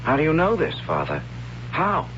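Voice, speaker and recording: A man's voice: fairly high pitch, wildly swooping pitch, normal speed, a bit bright. An actor playing a questioning young man, a priest's son, with an intense, dramatic delivery in 1970s radio drama style. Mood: urgent questioning. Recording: dull, noisy 1970s radio recording.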